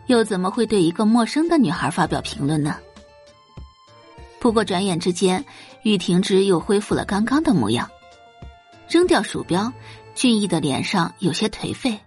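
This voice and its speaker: A woman's voice: mid-range voice, a little mellow, husky. A woman narrating a scripted audio drama in a dramatic storytelling style.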